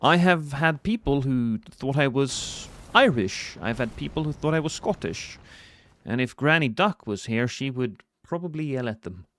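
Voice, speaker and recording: A man from Norway with a Norwegian accent, streaming a game live and joking with his chat while reacting loudly to the action. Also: mid-range voice, expressive, normal speed, dry recording. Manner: playful, joking banter with chat.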